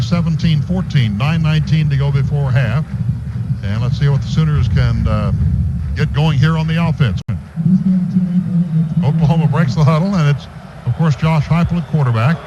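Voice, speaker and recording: An American man calling live football play-by-play on the radio, stretching words as plays develop. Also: fairly high pitch. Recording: dull radio broadcast, clearly noisy.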